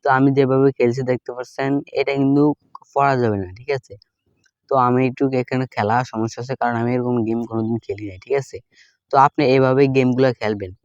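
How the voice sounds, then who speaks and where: A man with a Bangladeshi accent walks viewers through an app in a casual tutorial style. His voice is clear and smooth at a medium pitch, and he speaks quickly, often tagging on a short check for agreement. The voice-over sounds close, with a slightly dull, lo-fi tone. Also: fairly even intonation, darkish tone, dry recording. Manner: repeatedly adds a checking "okay?" after statements. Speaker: tech tutorial host.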